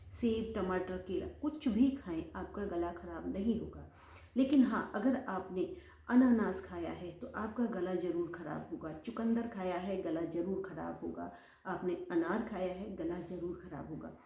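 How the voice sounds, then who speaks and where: Woman, chatty home remedy vlogger, warm mellow voice, echoey room. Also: mid-range voice. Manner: chatty, repeats phrases for emphasis, addresses viewers as friends. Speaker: YouTuber giving home remedy tips. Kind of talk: casual instructional talk to camera.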